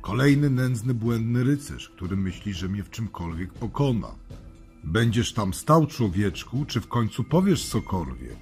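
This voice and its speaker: A man's voice: fairly low pitch, big pitch swings, a little slow, a little mellow, soft background music. A man playing an arrogant, menacing phantom lord in a children's audio drama, with scornful, theatrical delivery. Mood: gloating, contemptuous. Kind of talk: children's radio play acting.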